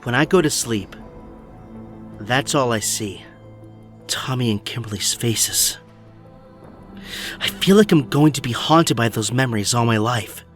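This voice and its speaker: A man's voice: medium pitch, lively intonation, measured pace, long pauses, a bit bright, faint music behind. A man acting a haunted, stubborn young superhero in an audio drama, earnest and emphatic. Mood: haunted, resolute.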